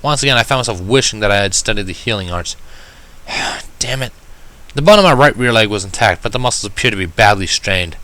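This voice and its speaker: A man reading a first-person story aloud with an expressive, wry, self-deprecating delivery. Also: fairly low pitch, brisk. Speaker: fan fiction reader.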